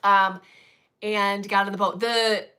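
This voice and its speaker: A chatty woman hosting a casual live stream into a phone camera. Her voice is bright and lively, and she laughs easily as she greets viewers and tells travel stories. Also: mid-range voice, takes it slowly, clear, resonant tone. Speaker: co-host of a stitching live stream.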